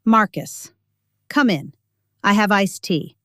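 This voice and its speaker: A woman as an unflappable, deadpan older mother. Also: medium pitch, slow pace, no echo, studio quality. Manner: deadpan, precise, matter-of-fact. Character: unflappable older mother, retired teacher and gardener.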